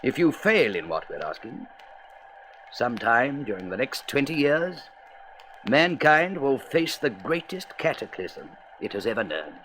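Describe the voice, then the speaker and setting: A man's voice: medium pitch, very animated, unhurried, fairly bright tone, a little hiss or hum, poor audio. A man playing a courteous, grave president of a space council in a radio serial, formal and emphatic. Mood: grave urgency. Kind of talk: vintage science-fiction radio serial acting.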